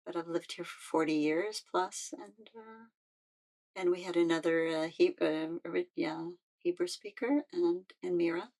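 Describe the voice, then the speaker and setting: An older woman speaks about her own life in a low, gravelly voice. She talks in a heartfelt, halting way, often circling back and restarting her sentences. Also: darkish tone, rough recording. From United States. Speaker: musician and peace activist. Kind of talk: personal reflective talk.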